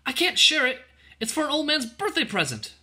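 A man's voice: extremely high pitch, sing-song, racing, voice pushed up. A man voicing a plucky, stubborn young girl in a children's storybook, with an emphatic, pleading and defiant delivery. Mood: anxious, pleading.

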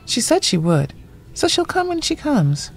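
An actress playing a blunt, wry, loyal friend in a naturalistic audio drama, speaking casually. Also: female voice, sits high, huge pitch swings, measured pace, vocal fry, soft background music, heavily acted, rough recording. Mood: gentle, sympathetic. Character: blunt, foul-mouthed, loyal woman friend, homebody.

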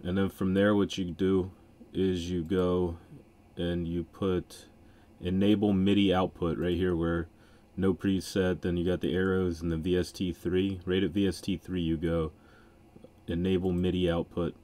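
Low, dark-voiced man giving casual tutorial. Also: level tone, measured pace, few pauses. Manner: informal, offhand. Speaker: music software tutorial host. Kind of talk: casual screen-recording tutorial.

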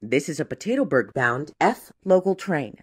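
A woman reading scripted train announcements in a measured, formal, segmented way. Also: deep voice, sing-song, husky. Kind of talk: scripted transit announcement, spliced clips.

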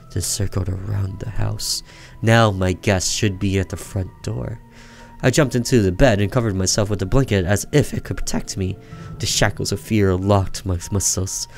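A man with a fairly low voice narrates a horror tale. His delivery is theatrical and suspenseful, slowing for effect. Soft music plays behind him. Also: vocal fry. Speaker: streamer reading a visual novel aloud. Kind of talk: dramatic narration of a horror story.

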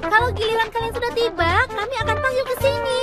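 A woman's voice: very high-pitched, fast. A woman voicing a small female cartoon robot cat, a helpful and sensible little sister explaining things. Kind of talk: animated cartoon dub acting. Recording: music behind the voice.

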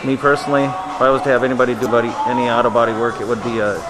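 A man's voice: medium pitch, level tone, very fast, rough recording. Easygoing man talking behind the camera. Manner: easygoing, filler-heavy promotional talk. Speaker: videographer and interviewer. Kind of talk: casual on-camera interview.

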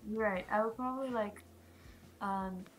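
A woman's voice: medium pitch, normal speed, a little hiss or hum, rough recording. A teenage woman from Brazil with a Brazilian Portuguese accent, speaking English as a second language in a casual video-call chat, hesitant and giggly, with many fillers. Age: teens. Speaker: guest.

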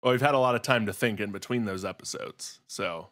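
A man's voice: on the low side, brisk, fairly bright tone, no echo. Man with a dry, close-recorded voice. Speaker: podcast panelist. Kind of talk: casual comic banter.

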